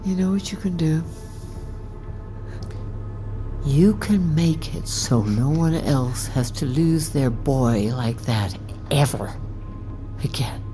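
A middle-aged mother hardened by grief in an audio drama. Her voice is exceptionally deep and dark, and she measures out each accusing phrase slowly, often dropping to a hush over faint music. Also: animated. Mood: cold, unforgiving. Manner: slow, deliberate, accusing, often hushed. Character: grieving, bitter, unforgiving bereaved mother. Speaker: actor in a fiction podcast.

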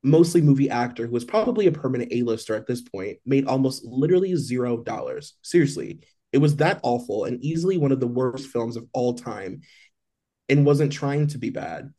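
Male podcast co-host, warm low-key timbre, rambling casual gossip delivery. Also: animated, a little fast. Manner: loose and chatty, heavy on "like". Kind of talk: casual celebrity gossip chat.

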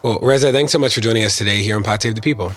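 An American man with a husky voice, hosting a podcast. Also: on the low side, racing, a bit bright, faint music behind. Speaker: podcast host and interviewer. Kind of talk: podcast hosting, sponsor reads and interview questions.

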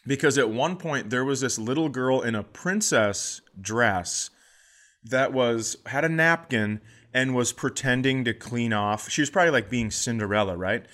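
Animated comic storyteller man, mid-pitched. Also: moderate pace. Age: middle-aged. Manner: animated anecdote-telling, mimicking others in quotes. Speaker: podcast host and comedian.

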